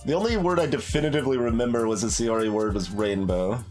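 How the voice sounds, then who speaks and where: A man's voice: medium pitch, quick pace, darkish tone, soft background music. A man giving casual video game commentary as a streamer, hesitant and thinking aloud as he plays. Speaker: gaming streamer.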